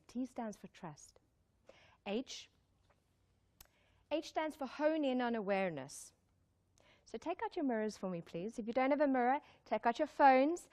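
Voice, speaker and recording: High-voiced woman motivational speaker. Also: a little mellow. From born in Zimbabwe, raised in South Africa. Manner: exclamatory, emphatic, repeats key lines, puts questions to listeners. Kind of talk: live motivational talk to an audience. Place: live talk before an audience.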